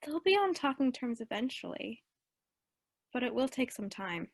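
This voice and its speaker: A woman's voice: fairly high pitch, sing-song, normal speed, creaky, exaggerated voice. A woman playing a caring teenage older sister in a radio play, reassuring and matter-of-fact. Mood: concerned.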